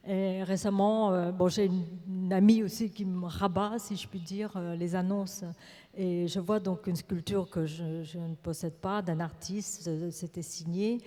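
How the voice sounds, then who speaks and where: Panel writer, woman, Vietnamese-born; halting delivery, dark tone, long stage reverb. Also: medium pitch. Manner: halting, searching for words, many restarts. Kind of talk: panel discussion. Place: large theatre on stage.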